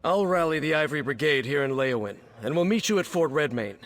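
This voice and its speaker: Resolute fantasy army captain. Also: male voice, a little high, lively intonation, a little fast, fairly bright tone. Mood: grave, resolute. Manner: commanding, earnest. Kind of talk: video game character acting.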